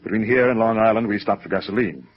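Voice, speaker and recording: An actor playing a genteel, evasive company president in a 1950s radio drama, formal and hesitant, on a vintage recording. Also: male voice, fairly low pitch, fast talker, dull audio, natural voice. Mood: wistful, hesitant. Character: genteel, evasive, wistful male brokerage president.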